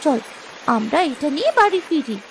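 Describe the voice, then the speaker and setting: A woman voicing excitable, squabbling young village sisters in a children's moral-story cartoon, with exclamatory, theatrical delivery. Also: high-pitched, moderate pace, slight background noise, low-quality recording. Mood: eager, dismissive.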